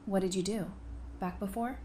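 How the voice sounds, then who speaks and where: A woman's voice: medium pitch, steady tone, moderate pace, mellow, lightly acted. A woman acting a dry-witted, guarded therapist, faint noise. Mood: challenging, wry. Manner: dry, blunt, challenging. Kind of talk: audio drama acting.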